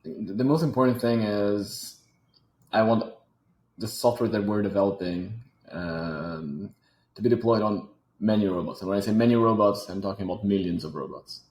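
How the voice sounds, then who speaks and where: A French-accented man with a fairly low voice, talking in an echoey room. He speaks in a thoughtful, halting way and often repeats a word before going on. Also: a little mellow. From Switzerland. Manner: thoughtful, repeats words while searching. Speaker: guest, robotics company founder. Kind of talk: casual interview talk.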